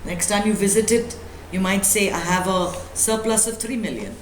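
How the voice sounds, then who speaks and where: A woman's voice: mid-range voice, moderate pace. Woman giving a spiritual talk, echoey room. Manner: emphatic, preachy, with rhetorical questions and repetition. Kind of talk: motivational spiritual talk to a live group. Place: indoor room at a live talk. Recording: echoey room with faint background noise.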